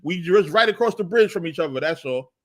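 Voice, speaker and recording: New york guy hosting a chat, kinda high voice, laid back, lots of "you feel me". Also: expressive, brisk. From Harlem, New York. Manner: laid-back, asks questions, peppers lines with "you feel me". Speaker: podcast host. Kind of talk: casual interview.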